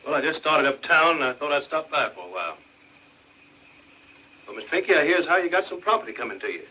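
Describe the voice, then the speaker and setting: A man's voice: fairly high pitch, very animated, fast. An actor playing a neighbourly man with a Southern US accent, folksy in dialect, with an air of veiled warning. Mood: sly, friendly. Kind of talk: 1940s film drama acting. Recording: muffled, hissy 1940s film soundtrack, distant.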